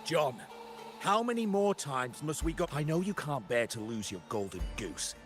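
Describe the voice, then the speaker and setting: A man's voice: fairly high pitch, sing-song, normal speed, soft background music. An actor playing a fussy, impatient, haughty male gambler in a video game, complaining as he talks. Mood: scornful.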